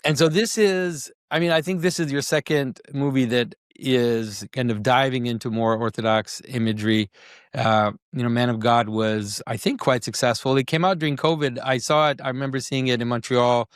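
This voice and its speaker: A man hosting an interview, asking questions in a relaxed, hedging way. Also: mid-range voice, normal speed, clean tone, no room sound, studio quality. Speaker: host.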